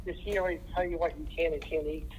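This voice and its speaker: A man's voice: fairly high pitch, level tone, fast, a little mellow, slightly rough, rough recording. A man chatting casually as he chimes in on a conversation. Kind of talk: casual chat, brief comments.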